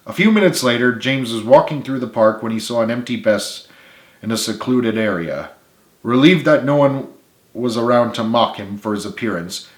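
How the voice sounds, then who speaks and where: Man narrating a story in an echoey room. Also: lowish voice. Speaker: author reading own book. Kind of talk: dramatic audiobook narration.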